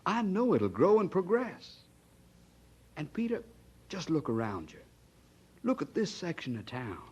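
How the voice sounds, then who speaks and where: Husky, pleading churchman. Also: on the high side, a little mellow, exaggerated voice. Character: earnest, pleading church elder urging a preacher. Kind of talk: audio drama acting.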